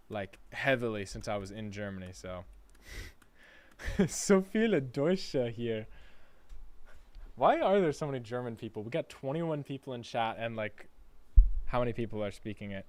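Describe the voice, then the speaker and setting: A man's voice: mid-range voice, clear, resonant tone, dry recording. A man chatting casually on a gaming livestream, playful, reading chat aloud and laughing often. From United States.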